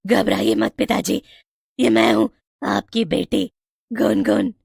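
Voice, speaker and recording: A woman's voice: mid-range voice, slow pace, creaky, darkish tone, slightly rough, no room sound, clean studio sound, full character voice. A woman voicing a devoted yet vengeful young snake-witch daughter in a moral-story cartoon, with emphatic, dramatic delivery. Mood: urgent, soothing.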